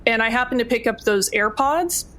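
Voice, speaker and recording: A woman's voice: on the high side, moderate pace, fairly bright tone. Casual woman playing a tabletop game. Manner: conversational, thinking aloud.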